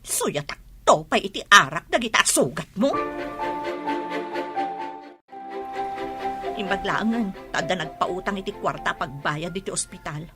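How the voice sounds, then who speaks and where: A woman's voice: fairly low pitch, animated, moderate pace, music in the background, close to an everyday voice. A woman acting in a radio drama as a fed-up wife scolding her drunkard husband, nagging and sarcastic. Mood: bitter.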